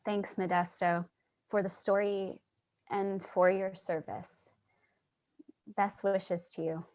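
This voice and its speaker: A woman's voice: mid-range voice, steady tone, slow pace, darkish tone, lightly acted. A woman acting a compassionate, earnest writer who listens and narrates in a staged play reading. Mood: gentle, sympathetic. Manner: earnest, sympathetic. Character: compassionate, earnest writer interviewing homeless people, narrating to audience. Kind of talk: dramatic dialogue and monologue in a staged play reading. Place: online video call reading.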